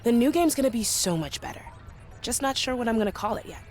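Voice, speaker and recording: An actress playing a sardonic, tech-savvy young programmer in a video game, blunt and teasing with a dry manner. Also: female voice, on the high side, lively intonation, fast talker, darkish tone, faint music behind, natural voice. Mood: blunt, proud. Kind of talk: video game character dialogue.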